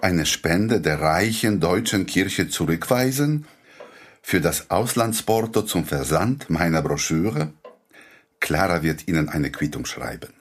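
An actor playing an ironic, self-deprecating language inventor, in a radio play. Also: male voice, sits low, expressive, unhurried, breathy edge, heavily acted. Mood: wry. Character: wry, modest language inventor, a small man.

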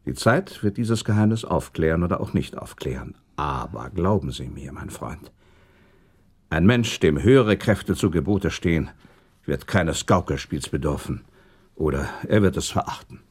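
An actor playing a rational, self-assured prince in a German radio drama, speaking in formal, deliberate, rhetorical phrases. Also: male voice, very deep voice, expressive, slightly rough, exaggerated voice. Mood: reasoning, insistent. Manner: measured, rhetorical, deliberate delivery.

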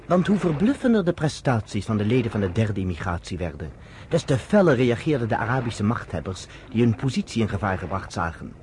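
An actor playing a storyteller, narrating a radio drama in scripted prose. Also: male voice, lowish voice, sing-song, brisk, a little mellow, slight background noise. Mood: measured narration. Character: male storyteller recounting history to a woman listener.

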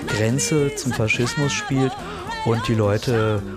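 Casting: male documentary interviewee, medium pitch, halting explanatory talk, prominent music underneath. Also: steady tone. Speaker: interviewee, commentator on cultural history.

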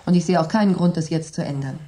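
A woman's voice: on the low side, fast, mellow, lightly acted, poor audio. An actress in a German radio drama playing a stubborn sceptic, a woman who reasons in a composed, dry way. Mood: composed, sceptical. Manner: composed, reasoning, slightly sardonic.

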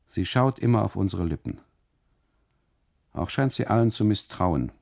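Man acting an emphatic, mocking tourist, lively pitch. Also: moderate pace, vocal fry, exaggerated voice. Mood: suspicious, complaining. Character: mocking, suspicious German male tourist, easily amused.

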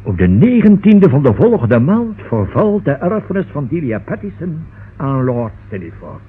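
A man's voice: fairly high pitch, wildly swooping pitch, moderate pace, a little hiss or hum. A man acting a fussy, nervous, forgetful solicitor in a radio play, with hesitant, exclamatory speech. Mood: earnest. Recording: muffled, band-limited old radio recording.

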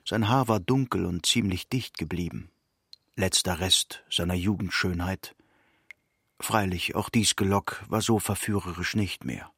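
A man reading literary narration for a radio drama in a measured storytelling manner. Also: fairly low pitch, fairly bright tone, husky, no echo, clean studio sound.

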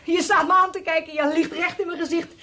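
An actress playing a feverish, frightened wife in a radio play, speaking intensely in a husky, breathy voice. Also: female voice, very high-pitched, steady tone, pushed and bright. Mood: agitated, accusing. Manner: intense, halting recounting and insistent questioning. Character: feverish, frightened wife, haunted by bleak dreams.

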